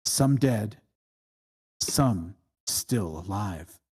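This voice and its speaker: A narrator reading screenplay stage directions at a table read in measured, deliberate short phrases. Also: sits low, lively intonation, a little mellow, husky. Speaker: screenplay reader.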